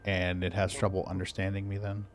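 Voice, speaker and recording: A man's voice: deep voice, a little fast, slight background noise. A man streaming a game, chatting casually with a friend, talking to himself and humming idly. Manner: relaxed, talks to himself, hums idly. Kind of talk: casual chat while gaming.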